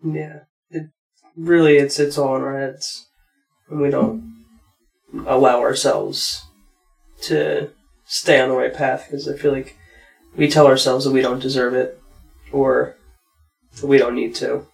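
A man co-hosting a podcast, talking in a relaxed, reflective and plain way. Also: medium pitch, unhurried, vocal fry, pauses often, low-quality recording. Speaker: podcast co-host. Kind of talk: casual conversation.

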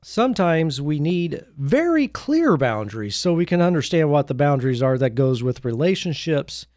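Male American podcast host, slightly higher-pitched voice, conversational and meandering, in a dry studio-like sound. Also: big pitch swings, moderate pace, darkish tone, dry recording. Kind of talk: informal solo podcast monologue.

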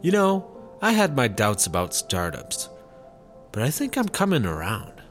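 An actor playing a wary, sensible startup worker, doubtful and plain-spoken, in a sci-fi comedy audio drama. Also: male voice, mid-range voice, wildly swooping pitch, a little slow, faint music behind. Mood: content.

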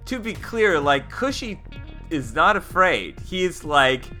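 Man, bright forceful voice, tabletop player, slangy, thinking aloud, casual improvised chat, faint music. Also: fairly high pitch, sing-song, slow.

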